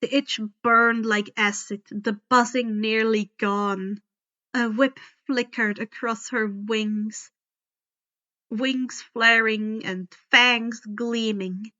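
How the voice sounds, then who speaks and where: A woman reading first-person fiction aloud in a deliberate, dramatic storytelling style. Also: fairly high pitch, steady tone, pushed and bright, highs cut off. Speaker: fan fiction audiobook reader. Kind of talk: expressive first-person scripted narration.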